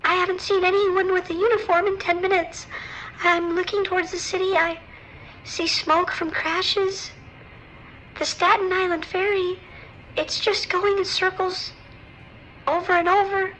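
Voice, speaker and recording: A very high, shaky woman's voice, an actress playing a frightened passenger on an emergency call. She sounds hesitant and alarmed over faint background ambience, in audio drama style. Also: little pitch movement, unhurried, fairly bright tone, slight background noise, low-quality recording. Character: frightened, stranded female cruise ship passenger calling emergency dispatch.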